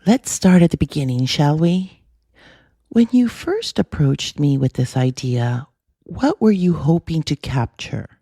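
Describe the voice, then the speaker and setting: A podcast host speaking in a measured, earnest, reflective way, with scripted narration and gentle interview questions. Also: mid-range voice, expressive, slightly rough, dry recording, clean studio sound, soft background music.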